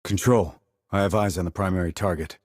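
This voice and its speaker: An actor playing a terse, dry, confident military sniper in a video game, with a husky voice and clipped, controlled reports. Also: male voice, deep voice, steady tone, a little fast, darkish tone, no echo. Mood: focused. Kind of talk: scripted military game dialogue.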